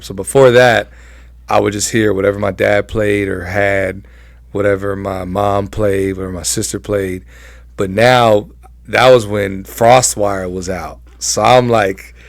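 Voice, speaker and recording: Young man, American accent, low pitch, gravelly fry, casual slangy storyteller, close dry sound. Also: no echo. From Southern California, United States. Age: young adult. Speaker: interview guest.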